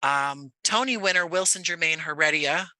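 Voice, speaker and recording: An American man talking conversationally as an interview guest, choosing his words in an articulate, measured way. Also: on the high side, sharp tone, dry recording, rough recording. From Jersey City, United States. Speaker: podcast guest, audio play creator.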